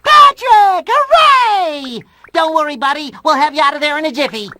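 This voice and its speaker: An actor voicing an eager, naive young male sea sponge in a cartoon video game, earnest, excitable and childlike. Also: high voice, moderate pace, noisy background. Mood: excited, urgent.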